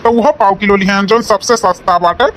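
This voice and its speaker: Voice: steady tone, fairly quick, fairly bright tone, close to an everyday voice. A performer voicing a practical, patient village husband in an Awadhi comedy cartoon, plain-spoken and questioning. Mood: matter-of-fact. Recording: faint rumble and background noise.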